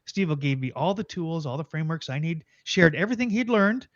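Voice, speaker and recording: A man's voice: fairly high pitch, expressive, brisk, fairly bright tone, no room sound, lo-fi sound. A man hosting a podcast over a video call, talking enthusiastically and expressively. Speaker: podcast host. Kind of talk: casual video-call chat.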